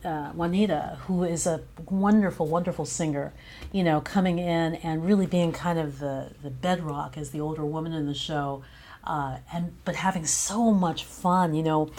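Female interview guest, low register, conversational, effusive and emphatic in praising collaborators. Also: moderate pace, warm tone. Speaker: guest, playwright and director.

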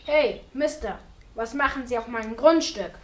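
A woman plays a shameless male blackmailer in an amateur radio play. The voice is high and full of defiant indignation. It sounds roomy and echoing. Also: normal speed, natural voice. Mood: indignant.

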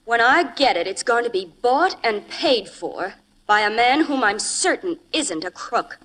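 An actress playing a self-assured, teasing young American secretary in a 1940s radio crime drama, with brisk, coy delivery. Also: female voice, a little high, expressive, fairly bright tone. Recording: noisy vintage radio recording.

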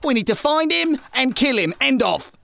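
A woman playing a gruff, dismissive working-class English character in a comedy audio drama, blunt and impatient. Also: high voice, sing-song, normal speed, sharp tone, slight background noise, full character voice. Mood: scornful.

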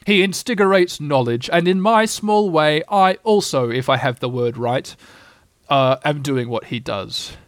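A man voicing a gloomy, pompous old male donkey who talks slowly, solemnly and bitterly. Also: on the high side, no echo, studio quality, close to an everyday voice.

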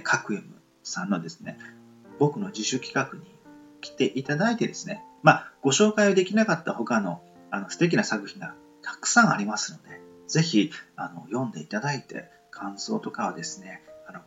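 A man hosting a casual solo podcast in a polite, humble, halting and apologetic way. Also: lowish voice, creaky, a bit bright, lo-fi sound. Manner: halting, apologetic, self-deprecating. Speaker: podcast host introducing web novels. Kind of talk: casual solo radio talk.

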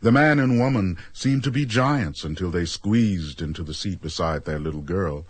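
A man narrating a radio drama as a measured storyteller, reading scripted prose. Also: sits low, breathy edge, no room sound. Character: measured storyteller of a strange tale.

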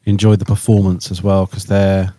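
A man chatting casually on a podcast in a hesitant, halting way, often repeating himself. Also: sits low, completely flat delivery, mellow, dry recording. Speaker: podcast co-host.

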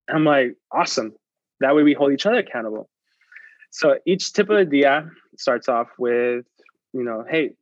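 A man's voice: mid-range voice, no room sound, muffled recording. A man whose first language is Spanish, a podcast guest talking earnestly and motivationally, often restarting and repeating words. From United States. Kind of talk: casual interview chat.

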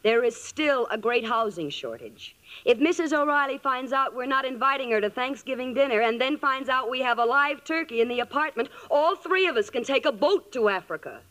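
A woman's voice: high voice, animated, a little fast, a bit bright. A woman acting an exasperated, sensible young roommate in a vintage radio comedy, with wry, emphatic delivery. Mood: scolding.

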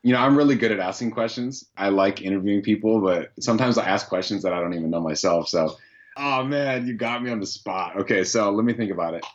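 A man with a deep voice answering interview questions in an earnest, reflective way, thinking aloud. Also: fast, muffled recording. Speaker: podcast host, guest here.

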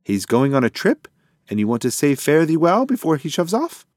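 An excitable, fussy pigeon from a children's storybook, acted out by a man. The voice is high and swoops about wildly. He blusters and exclaims, forever muddled and indignant. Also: moderate pace, no echo, clean studio sound. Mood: flustered, fussy.

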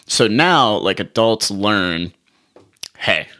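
Casting: fairly low, bright-toned guy, easygoing co-host, casual cursing. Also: expressive, extremely slow. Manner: laid-back, swearing, laughs easily. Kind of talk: casual chat.

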